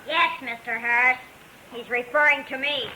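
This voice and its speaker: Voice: high voice, fairly even intonation, moderate pace, natural voice. An actor playing a small, sinister ventriloquist's dummy with polite, precise speech in a 1950s radio drama. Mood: polite menace. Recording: old hissy radio recording.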